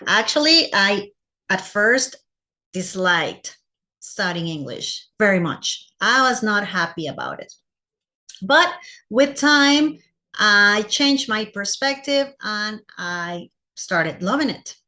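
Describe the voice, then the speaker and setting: A woman's voice: mid-range voice, expressive, takes it slowly, sharp tone. A woman from Costa Rica with a Costa Rican Spanish accent in English, speaking as a teacher giving a personal presentation to fellow teachers.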